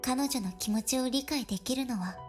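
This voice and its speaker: A woman voicing a polite, knowing young shrine girl in a visual novel, composed and gently teasing. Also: high voice, very fast, natural voice. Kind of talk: Japanese visual novel voice acting. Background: game music.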